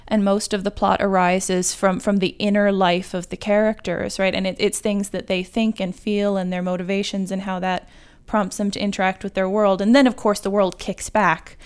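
A woman's voice: medium pitch, clean tone. A woman speaking as a guest writer in a thoughtful, emphatic, reflective panel chat.

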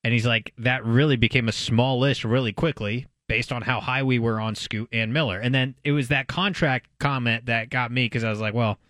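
An American man co-hosting a sports talk show, speaking in a casual, animated, conversational way. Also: medium pitch, moderate pace, few pauses, dry recording, clean studio sound. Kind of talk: casual sports radio discussion.

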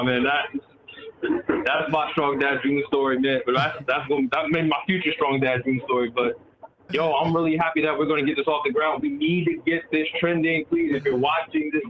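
A male caller on a call-in show, talking excitedly in slang and swearing. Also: on the high side, moderate pace. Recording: dull phone line with loud crowd behind.

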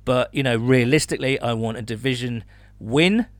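A man's voice: mid-range voice, normal speed, no room sound. A man co-hosting a sports podcast, chatting casually with colourful, colloquial turns of phrase. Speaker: podcast co-host.

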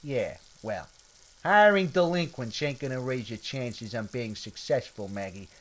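A man's voice: mid-range voice, expressive, unhurried, natural voice. A man playing an irritable, foul-mouthed cook in an adult comedy sketch, blunt and grumbling. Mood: grumpy.

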